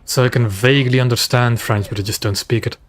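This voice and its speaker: A man's voice: mid-range voice, fast. A man from West Flanders with a Flemish accent, a native Dutch speaker, chatting casually in English while streaming a game and answering chat. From West Flanders, Belgium. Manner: relaxed, rambling, answers chat between game calls. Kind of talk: casual gameplay stream commentary.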